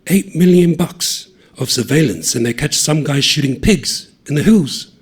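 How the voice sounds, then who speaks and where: Man playing a scoffing male bushwalker, dismissive, husky, animated. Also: fairly high pitch, a little slow, pitched above the performer's own voice, full character voice. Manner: dismissive, mocking. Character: scoffing male bushwalker, pub know-it-all.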